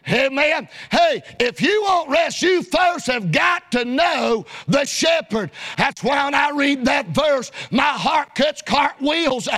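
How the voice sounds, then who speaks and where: A man preaching a fiery sermon in a church, shouting his lines and punctuating them with frequent amens. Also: very high voice.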